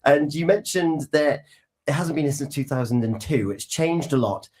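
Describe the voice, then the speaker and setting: A man's voice: fairly high pitch, moderate pace, a little mellow. A man hosting a breakfast radio show, chatting with guests and leading long questions. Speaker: radio host. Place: radio studio.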